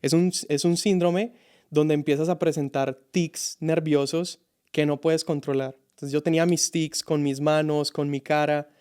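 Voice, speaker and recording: A man from Colombia, a youth pastor telling his story as a podcast guest, reflective and halting. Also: fairly high pitch, flat delivery, darkish tone, smooth. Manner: reflective, halting with many restarts. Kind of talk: casual podcast conversation.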